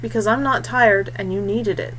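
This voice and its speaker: A woman voicing a calm, practical young male warrior with a wry streak, a loyal brother in a fantasy story. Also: medium pitch, moderate pace, a little hiss or hum, close to an everyday voice, low-quality recording.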